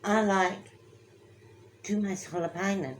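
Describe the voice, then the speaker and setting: A woman's voice: mid-range voice, slow pace, slight background noise. A woman co-hosting a casual chat, teasing and drawing out her words for emphasis. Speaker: co-host.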